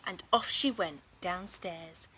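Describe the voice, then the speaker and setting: A British woman narrating a children's story in an expressive, clearly paced teacher's manner. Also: lowish voice, slow, noisy background. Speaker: assistant head teacher reading a story.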